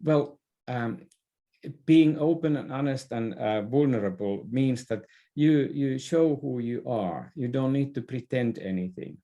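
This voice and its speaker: A man speaking as an interview guest, explaining ideas in an earnest, reflective way with halting phrasing. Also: medium pitch, lively intonation, dark tone, dull audio. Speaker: podcast guest, business expert.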